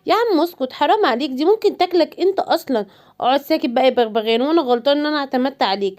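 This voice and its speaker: A woman's voice: sits high, lively intonation, very fast, a little mellow. A woman voicing a cheeky, easily scared young male lion cub in a children's cartoon story, chattering in a childlike way. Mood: worried, scolding.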